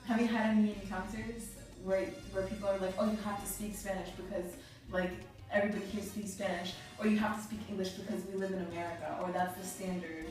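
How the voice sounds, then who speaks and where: A woman's voice: mid-range voice, level tone, fast talker, a little mellow. A woman interviewer asking casual, curious questions. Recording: echoey room from far off, faint music.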